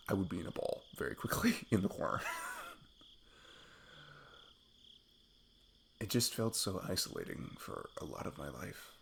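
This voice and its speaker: Casting: male actor as shy, loving male best friend for ASMR roleplay, low creaky voice, halting self-deprecating delivery, crickets faintly behind. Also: slight background noise, heavily acted. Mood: vulnerable, self-deprecating. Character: sweet, nervous male best friend confessing his love. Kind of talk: intimate ASMR roleplay monologue.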